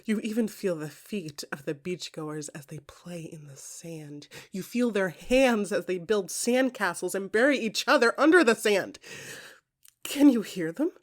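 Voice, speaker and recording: A woman performing intimate second-person audio fiction, expressive and often hushed, with deliberate pauses between short phrases. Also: mid-range voice. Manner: expressive, swinging from soft musing to emphatic bursts.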